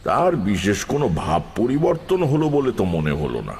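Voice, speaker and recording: A man narrating a first-person horror story in a dramatic, suspenseful, expressive scripted style. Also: on the low side, normal speed, slight background noise. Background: prominent music.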